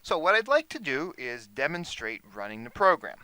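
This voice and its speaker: A man speaking as an instructor, explaining a software demonstration in a measured, instructional way. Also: on the high side, sing-song, smooth, dry recording.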